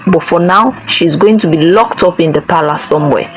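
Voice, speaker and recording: A commanding folktale king, voiced by a woman storyteller, demanding answers and handing down orders in a low, firm voice. A faint hiss sits behind the storybook reading. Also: normal speed, natural voice, low-quality recording. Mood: stern. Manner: demanding, questioning. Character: stern, commanding village king.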